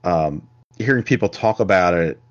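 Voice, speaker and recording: Deep-voiced man in his forties, rambling. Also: lively intonation, moderate pace, highs cut off. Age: 40s. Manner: rambling, self-deprecating, laughs often. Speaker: podcast co-host. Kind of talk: casual podcast chat.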